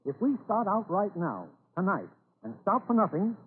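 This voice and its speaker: A crackly, thin old radio recording carries a folksy American townsman. His voice sits high, and he speaks plainly and emphatically, a stubborn leader who will not be beaten. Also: sing-song, normal speed. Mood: resolute. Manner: homespun, plain-spoken, emphatic. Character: determined, folksy old-time town leader, undaunted by disaster. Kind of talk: old-time radio drama acting. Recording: scratchy, narrow-band old radio recording.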